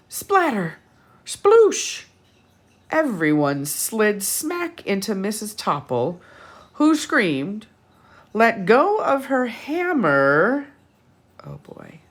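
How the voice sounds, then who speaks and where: A woman reading a picture book aloud to children as a storytime host, animated and teacherly, with dramatic delivery and little asides. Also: medium pitch.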